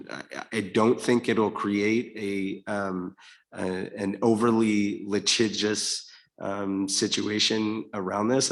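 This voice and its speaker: Slow-talking man with a low voice. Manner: measured, many fillers and restarts. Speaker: congressional committee staffer on a panel. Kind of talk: panel answer, explaining policy.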